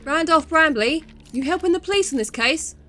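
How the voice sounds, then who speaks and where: A woman playing a pushy, eager newspaper reporter in an audio drama, brisk and prying. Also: sits high, lively intonation, pushed and bright, slight background noise.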